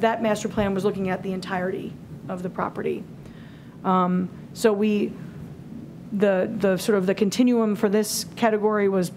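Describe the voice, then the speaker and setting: A woman's voice: medium pitch, mellow, low-quality recording. Woman, 40s, leads a town committee meeting at a table mic, thinks aloud, faint hum behind. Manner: thinking aloud with many fillers and restarts. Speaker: committee leader presenting a scoring rubric. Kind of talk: public meeting presentation. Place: town hall auditorium. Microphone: table microphone.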